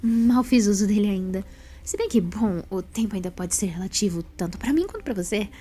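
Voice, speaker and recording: A woman voicing a quirky, energetic female time traveller in an audio story, talking in a quick, rambling way. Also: mid-range voice, slightly rough, faint music behind, lightly acted. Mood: flustered. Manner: quick, rambling, self-reassuring. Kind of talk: audio drama fan story.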